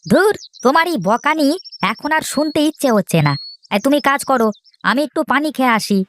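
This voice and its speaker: Woman voicing a whiny, high-pitched, impatient younger brother. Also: lively intonation, brisk, slight background noise. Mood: grumbling, cheeky. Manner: whiny, complaining, interjects often. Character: impatient, grumbling, cheeky middle brother of farming family. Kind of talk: children's cartoon dialogue.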